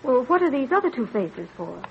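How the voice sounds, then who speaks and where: An actress playing a practical, skeptical yet devoted colonial farm wife in an old-time radio drama, with exasperated questioning. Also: female voice, high-pitched, big pitch swings, normal speed, creaky, breathy edge, heavily acted. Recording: dull, faintly noisy vintage radio recording.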